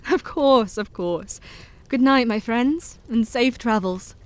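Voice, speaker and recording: A woman playing a gentle Victorian mother. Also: on the high side, slow, slight background noise. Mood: wistful. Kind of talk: audio drama acting.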